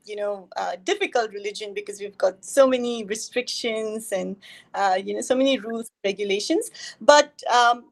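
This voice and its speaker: A woman's voice: a little high, poor audio. A woman from India, a guest in a casual interview over a video call, talking hesitantly with many fillers.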